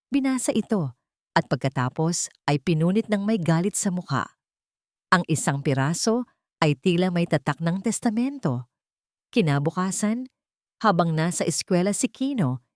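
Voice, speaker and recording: A woman's voice: on the low side, darkish tone, dry recording, clean studio sound. A woman reading scripted dramatic story narration as the narrator.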